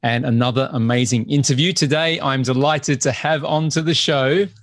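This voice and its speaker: A man's voice: mid-range voice, fairly even intonation, moderate pace, dry recording, studio quality. A man from Australia with an Australian accent touched by English tones, hosting a business podcast in a friendly, chatty way. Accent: Australian with some English. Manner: friendly, chatty, prone to restarts. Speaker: podcast host. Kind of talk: podcast interview.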